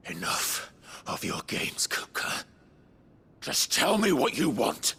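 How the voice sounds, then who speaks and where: Grim, gravelly outlaw hero. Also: male voice, medium pitch, wildly swooping pitch, slow, bright, forceful tone, heavily acted. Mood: angry, weary. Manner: intense, terse, brooding. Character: grim, defiant outlaw hero, brooding swordsman. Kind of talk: dramatic video game acting.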